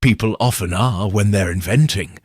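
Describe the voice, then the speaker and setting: A man voicing a shrewd elderly village spinster, speaking in a gentle, probing, knowing way. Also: on the low side, moderate pace, slightly rough, no room sound, studio quality. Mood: shrewd musing.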